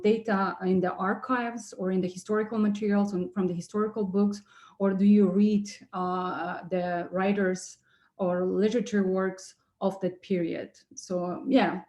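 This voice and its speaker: Soft-toned, polite, hesitant woman. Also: mid-range voice, steady tone, unhurried. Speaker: workshop participant asking questions. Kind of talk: polite questions on a video call. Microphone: video call.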